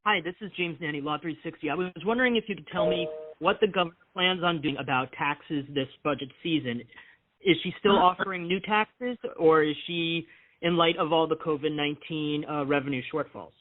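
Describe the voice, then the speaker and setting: A man's voice: on the high side, fairly quick. A man working as a reporter, putting a question to officials on a press conference call. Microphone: phone line. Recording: tinny phone conference line.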